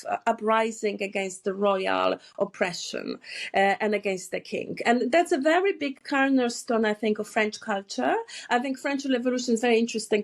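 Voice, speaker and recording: Polish-accented woman psychotherapist, fairly high voice. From Poland. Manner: thoughtful, with frequent restarts and fillers. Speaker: psychotherapist guest. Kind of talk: interview answers.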